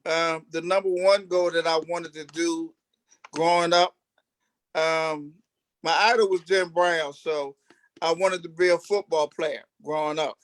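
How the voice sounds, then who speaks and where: A man's voice: a little high, steady tone, unhurried, a bit bright, no room sound. A man who acts on stage, casually telling stories about himself as an interview guest. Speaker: stage actor being interviewed. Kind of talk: casual storytelling.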